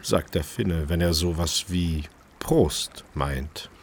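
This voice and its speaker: A man's voice: deep voice, slow, a little hiss or hum. An actor playing a male restaurant chef who narrates his own crime story in a wry, observant way, in a radio drama. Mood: wry, reflective. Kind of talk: German radio drama, first-person narration and dialogue.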